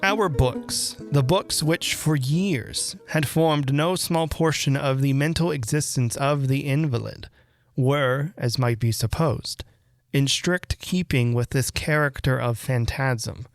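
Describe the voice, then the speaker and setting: A man reading a classic horror story aloud as literary narration, with measured, deliberate phrasing and dramatic pauses. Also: mid-range voice, soft background music. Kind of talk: literary audiobook-style narration.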